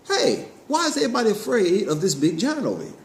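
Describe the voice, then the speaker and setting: A plucky young shepherd boy acted out by a man, fearless and cocky, in a storytelling show for children. The pitch is high and jumps around, and the room is echoey and noisy. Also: wildly swooping pitch, fast, full character voice. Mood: bold, questioning. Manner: plucky, questioning. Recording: roomy echo, clear background noise.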